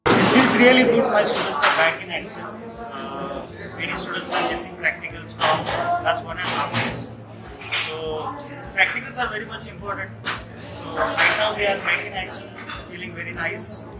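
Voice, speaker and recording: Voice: medium pitch, moderate pace. A teacher giving short, upbeat remarks to camera. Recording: roomy sound with loud music.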